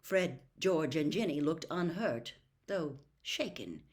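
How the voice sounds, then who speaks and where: Lower-pitched, very expressive woman narrator. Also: slow pace. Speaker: storybook narrator. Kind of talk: expressive scripted novel reading.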